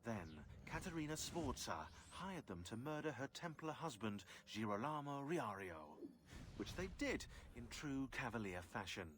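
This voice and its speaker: A man's voice: medium pitch, very animated, unhurried, a bit bright, a little hiss or hum. A man playing a wry, sardonic historian who narrates villain biographies in a video game. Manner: wry, sardonic, droll storytelling.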